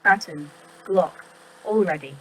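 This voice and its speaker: An actress playing a literal, unflappable facility A.I. with clipped, factual, detached delivery in a sci-fi audio drama. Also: female voice, lowish voice, a little slow, a bit bright, slight background noise, low-quality recording.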